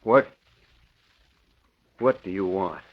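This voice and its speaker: An actor playing a nervous, indignant crook on the defensive in an old radio drama. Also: male voice, low voice, slow. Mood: startled, fearful. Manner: agitated, blustering, defensive. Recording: muffled vintage radio recording.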